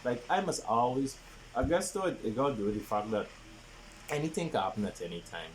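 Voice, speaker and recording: A man's voice: medium pitch, lively intonation, brisk, a little hiss or hum, rough recording. A young man chatting casually as a podcast co-host, repeating words for emphasis. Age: young adult. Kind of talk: casual chat.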